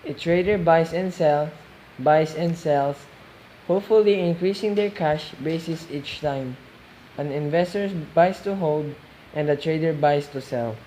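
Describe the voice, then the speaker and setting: Male amateur as calm, lecturing investor in radio play interview, repeats points for emphasis, faint noise behind. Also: a little high, measured pace, natural voice, rough recording. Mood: firm, explaining. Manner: didactic, repeats phrases for emphasis. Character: calm, didactic male real estate investor being interviewed.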